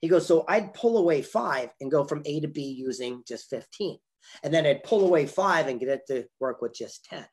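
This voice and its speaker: An older man with a husky voice, telling motivational stories as a guest speaker in an emphatic, deliberate way on a video call. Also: a little high, animated, darkish tone. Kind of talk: motivational storytelling. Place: indoor room on a video call.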